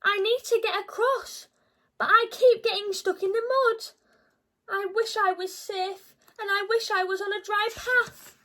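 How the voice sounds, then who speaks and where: A woman's voice: very high voice, fairly even intonation, measured pace, bright, forceful tone, pitched above the performer's own voice, full character voice. A woman voicing a worried, plaintive dog in a children's picture book. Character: stranded, worried dog stuck beside a muddy bog.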